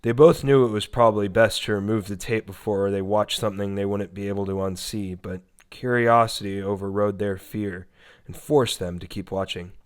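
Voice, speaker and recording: Low-voiced man narrating a horror story. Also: fairly even intonation, moderate pace, clean tone.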